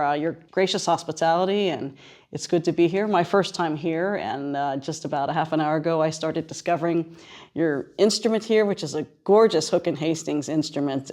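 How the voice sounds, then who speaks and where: A woman's voice: low-pitched, a little mellow. A woman hosting a radio interview on location in a conversational way. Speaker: radio show host. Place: on location at a seminary.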